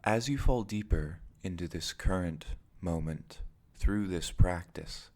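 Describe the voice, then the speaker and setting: Very deep, slow-speaking male meditation guide. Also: darkish tone. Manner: measured, deliberate phrasing with pauses. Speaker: meditation teacher.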